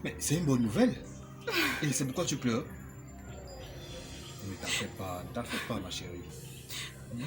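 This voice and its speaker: A man's voice: medium pitch, lively intonation, brisk, pauses often, over music, natural voice. A young man acting in a French screen drama as a devoted, anxious boyfriend who pleads and repeats his questions. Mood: soft reassurance. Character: devoted young boyfriend, anxious, tender but quick to outrage.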